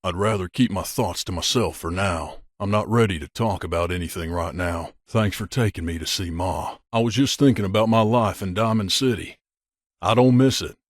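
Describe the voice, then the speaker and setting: Lowish dry guy man acting as a video game companion, earnest guarded drifter type, talks natural. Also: normal speed, no room sound. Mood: reflective. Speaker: voice actor.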